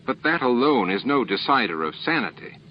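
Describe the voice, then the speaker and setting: A stern space captain, played by a man in a sci-fi audiobook, grave and indignant while holding his temper. The voice is mid-pitched with animated intonation, on a slightly dull recording. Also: brisk, slight background noise, natural voice. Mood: firm, controlled. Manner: forceful, controlled, grave.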